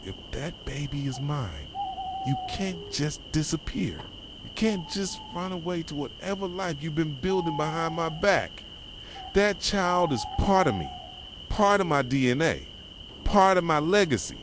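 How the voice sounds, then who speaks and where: A man's voice: fairly high pitch, lively intonation, measured pace, background noise. A man playing a jealous, obsessive, heartbroken boyfriend in an intense, accusing ASMR roleplay addressed to the listener. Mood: anguished, desperate. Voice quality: soft voice. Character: jealous, obsessive, heartbroken yandere boyfriend confronting his partner.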